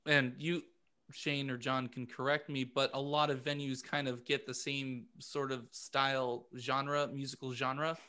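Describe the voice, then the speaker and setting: A man's voice: mid-range voice, level tone, unhurried, bright, forceful tone, clean tone. A man talking casually as a panelist in a podcast discussion.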